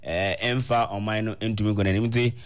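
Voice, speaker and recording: A man with a Ghanaian accent on a call-in radio discussion who speaks in a measured way and repeats his phrases. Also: fairly low pitch, steady tone, clean tone.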